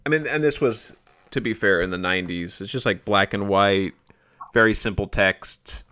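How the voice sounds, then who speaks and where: A chatty American podcast host in his forties with a fairly low voice. He talks casually, as if catching up and reminiscing with someone he knows. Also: normal speed, pushed and bright. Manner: chatty, reminiscing, chuckles often. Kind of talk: casual conversational interview.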